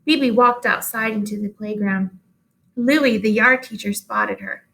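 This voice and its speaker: A woman reading a children's storybook aloud in an expressive storytelling style. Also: mid-range voice, a little slow, gravelly, poor audio.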